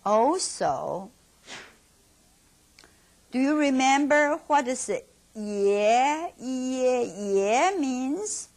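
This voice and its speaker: Woman teacher, Mandarin Chinese accent, slightly high voice, lively rising and falling pitch, drills words one by one. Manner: repeats words slowly, asks and answers her own questions. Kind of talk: slow teaching instruction with drills.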